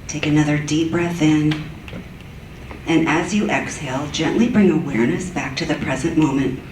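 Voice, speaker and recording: Low gentle woman voice guiding breathing, soothing, roomy echo, faint hiss behind. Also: moderate pace. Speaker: meditation leader. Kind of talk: guided meditation. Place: church sanctuary. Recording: echoey church room from far off.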